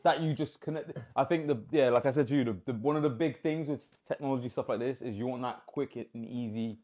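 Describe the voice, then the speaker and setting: Male podcast co-host, quick eager delivery. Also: mid-range voice, darkish tone. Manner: quick, eager, agrees often. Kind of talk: casual chat.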